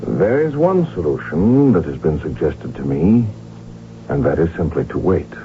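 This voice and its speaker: A man's voice: rumbling low, big pitch swings, normal speed, slight background noise, full character voice. An actor playing a proud, desperate middle-aged prince in a radio drama, intense and formal in his delivery. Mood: defiant. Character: proud, desperate, defiant middle-aged male Habsburg heir. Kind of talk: 1970s radio drama acting. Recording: tinny, humming old radio recording.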